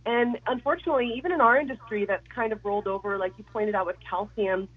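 Woman w/ head cold, raspy husky voice, chatty, sounds like a phone call. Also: medium pitch, fast. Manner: chatty, lots of fillers. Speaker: guest. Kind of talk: casual radio chat.

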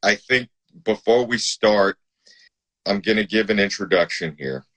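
A man's voice: on the low side, measured pace, a bit bright. A man hosting a casual live-stream interview, talking in an admiring, emphatic way. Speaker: host.

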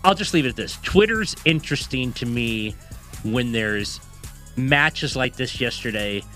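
A man co-hosting a sports talk show, rambling and joking in an animated, casual way. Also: mid-range voice, unhurried, faint music behind.

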